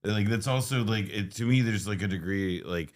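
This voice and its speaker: A man in a casual chat, talking haltingly and rephrasing with many fillers. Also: fairly low pitch.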